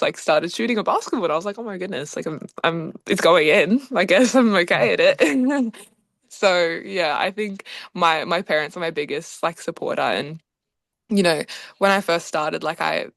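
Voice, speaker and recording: An upbeat, chatty seventeen-year-old girl raised in Australia, whose first language is Hungarian. She answers interview questions in a relaxed way, full of "like" and little laughs. The recording is close and dry. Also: fairly low pitch, dry recording. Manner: upbeat, chatty, lots of "like" and "oh my goodness", laughs often. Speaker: basketball player, interview guest.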